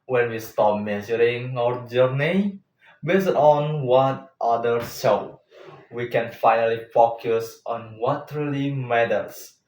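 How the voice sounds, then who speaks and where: A man delivering a prepared motivational speech as a contestant, in short, deliberate rhetorical phrases. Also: mid-range voice, a little mellow. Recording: echoey room, distant mic, faint noise.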